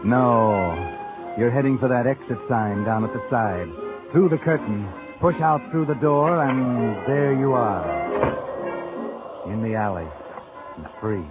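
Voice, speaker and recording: A man's voice: medium pitch, a little slow, loud music behind the voice, natural voice. A man playing a sardonic narrator in a 1940s radio crime drama, needling with insinuating questions like a criminal's conscience. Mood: urgent, mocking. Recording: tinny, band-limited old radio recording.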